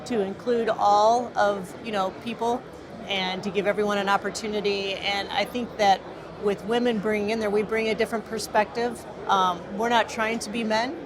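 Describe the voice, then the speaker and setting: Woman from the US Midwest, earnest interview talk, many fillers, mid pitch, background noise. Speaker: business co-owner. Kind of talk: interview answers.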